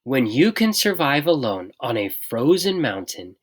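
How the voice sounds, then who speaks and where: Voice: medium pitch, big pitch swings, a little slow, slightly rough. A storybook reader voicing a huge, menacing old grandfather yeti in a grave, growling manner. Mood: menacing, stern.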